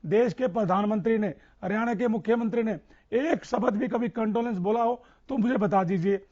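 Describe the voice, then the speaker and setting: A man's voice: high voice, moderate pace, darkish tone, lo-fi sound. A man speaking as a farmer leader in an impassioned political debate, insistent and repeating phrases for emphasis.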